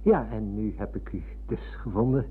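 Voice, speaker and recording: An actor playing a polite, deferential middle-aged former pupil in a radio play. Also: male voice, on the low side, moderate pace, a little hiss or hum, lightly acted. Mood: narrating, earnest. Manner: courteous, deferential. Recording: muffled phone-like old radio recording.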